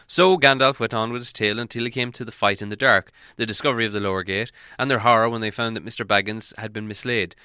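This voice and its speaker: A man's voice: fairly low pitch, fairly even intonation, fast talker, few pauses, bright, forceful tone, clear, resonant tone, no room sound, studio quality. A man reading a storybook aloud as scripted audiobook narration. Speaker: audiobook reader.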